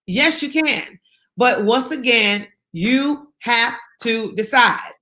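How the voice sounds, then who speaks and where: Bright, emphatic woman speaker. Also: on the high side, takes it slowly. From South Carolina. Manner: emphatic, repeats key phrases. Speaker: guest speaker. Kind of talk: motivational interview talk.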